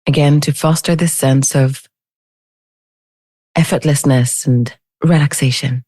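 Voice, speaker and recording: Female meditation teacher, low mellow voice, very measured delivery, close dry sound. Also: steady tone, dry recording. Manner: gentle, unhurried, with long pauses. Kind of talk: guided meditation.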